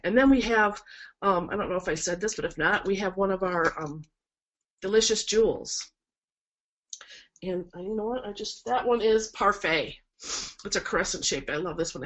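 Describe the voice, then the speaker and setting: A woman's voice: mid-range voice, rough recording. A woman florist with a cold and a nasal, stuffed-up voice, chatty and encouraging as she demonstrates a project in a webinar, with frequent ums. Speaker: florist instructor. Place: work table indoors. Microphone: camera mic.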